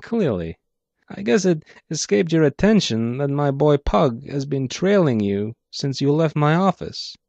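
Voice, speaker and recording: A man's voice: fairly high pitch, sing-song, a little slow, creaky, darkish tone, no echo, pitched above the performer's own voice, exaggerated voice. A smug mechanical crime boss acted out by a man drawls coldly in a voice lifted above the reader's own. It is an audio story, dry and slightly muffled. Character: cold, self-satisfied metallic gangster boss.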